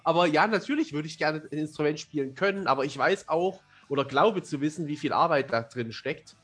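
A man with a somewhat high voice talks casually as a podcast guest. He comes across as chatty and self-deprecating, with faint music in the recording. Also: animated, a little fast, fairly bright tone, breathy edge. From Germany. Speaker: interview guest, fantasy author and amateur filmmaker.

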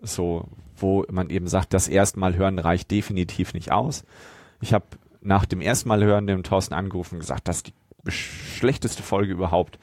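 A man's voice: sits low, fairly even intonation, normal speed, a little mellow, no room sound. A man co-hosting a podcast, sharing his verdict in a casual review discussion. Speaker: podcast co-host.

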